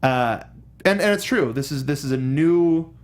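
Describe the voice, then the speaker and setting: Halting, enthusiastic man talking film. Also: a little high, animated. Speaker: co-host of a film discussion show. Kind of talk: casual film commentary.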